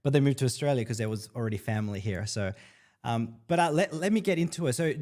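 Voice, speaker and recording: A man in his thirties from El Salvador hosting a casual live Q&A stream, chatty and upbeat, often pausing on fillers as he reads viewer comments aloud. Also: mid-range voice, animated, a little mellow. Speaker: livestream host.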